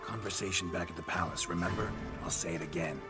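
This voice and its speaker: An actor playing a gruff veteran monster hunter who talks in terse, clipped, deadpan lines in a game cutscene. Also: male voice, low voice, quick pace, natural voice. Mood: stern. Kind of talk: video game cutscene dialogue. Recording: game audio with loud music.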